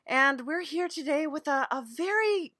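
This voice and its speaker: A woman hosting a casual improvised comedy interview, asking questions in a hesitant, deadpan way. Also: high voice, moderate pace, dry recording, clean studio sound. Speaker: podcast host and interviewer.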